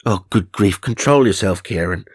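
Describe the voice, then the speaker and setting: Man playing an excitable British wife, pitched up. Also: moderate pace, husky, dry recording, clean studio sound. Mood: exasperated. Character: excitable, scolding wife and amateur tarot reader, thirties.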